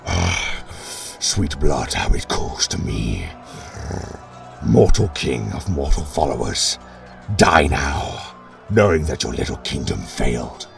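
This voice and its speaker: A gravelly bloodthirsty monster. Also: male voice, very animated, soft background music, full character voice. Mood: menacing. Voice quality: deep gravelly growl. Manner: slow, menacing, savoring. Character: monstrous bloodthirsty male giant, gloating.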